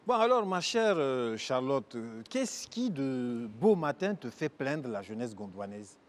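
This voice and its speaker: A man's voice: mid-range voice, very animated, unhurried. A male comedian playing a boastful, exasperated president of a mock parliament, exclaiming and stammering over repeats. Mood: presiding. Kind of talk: stage sketch comedy.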